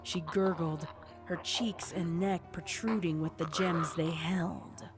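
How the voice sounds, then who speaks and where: A woman reading scripted story narration as the narrator of a dark tale. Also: fairly low pitch, fairly even intonation, takes it slowly. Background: music.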